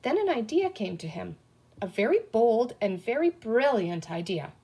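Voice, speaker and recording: Higher-pitched woman reading a children's story. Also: very animated, moderate pace, dark tone, husky. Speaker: audiobook reader. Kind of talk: expressive scripted children's story narration.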